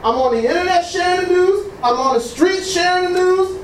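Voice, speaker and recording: A man preaching a sermon with a booming voice, leading call-and-response and exclaiming praise to God. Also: a little fast. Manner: exclaims praise phrases, leads the congregation in repetition. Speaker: pastor. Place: church hall. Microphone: mic switched off, picked up from a distance. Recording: echoey room from far off, faint noise.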